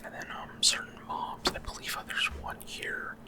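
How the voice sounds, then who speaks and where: A person whispering unscripted ASMR commentary over a video game, explaining things in a hesitant way. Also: moderate pace.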